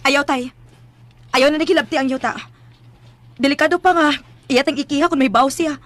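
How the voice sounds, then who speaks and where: An actress playing a feisty, self-sacrificing young daughter in a Filipino radio drama, resolute and earnest. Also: female voice, sits high, moderate pace, a bit bright. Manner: resolute, earnest, a little sassy. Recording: music bed behind.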